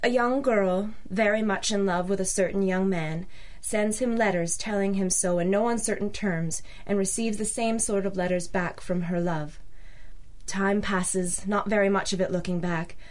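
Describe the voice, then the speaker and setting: A woman's voice: medium pitch, fairly even intonation, normal speed, lightly acted. An actress playing a demure, anxious young society woman as a client in an old-time radio noir, polite, formal and hesitant. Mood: wistful.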